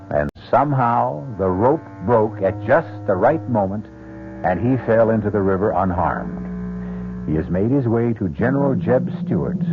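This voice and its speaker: An actor as a grave, ironic host narrating an old-time radio mystery in a deliberate, portentous way. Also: male voice, on the low side, a little hiss or hum. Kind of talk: dramatic scripted radio narration. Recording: tinny old radio recording, music underneath.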